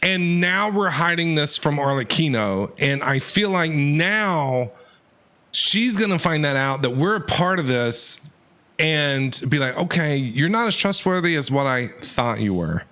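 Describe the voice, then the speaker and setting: Animated high-pitched male game streamer. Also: unhurried. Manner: excitable, exclamatory. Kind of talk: casual live gameplay reaction commentary.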